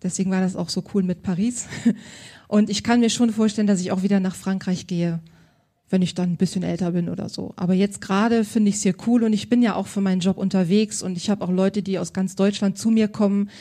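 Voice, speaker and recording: A woman's voice: medium pitch, steady tone, brisk, dark tone. A woman working as a style consultant, answering interview questions in an enthusiastic, colloquial way.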